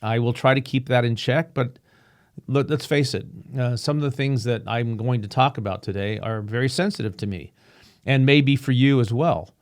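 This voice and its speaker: A man hosting his own podcast, talking earnestly and reflectively, with frequent restarts and a slightly rough voice. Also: medium pitch. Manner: earnest, halting, with many restarts and fillers. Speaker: podcast host. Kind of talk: reflective solo podcast monologue. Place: home room with a painting behind him.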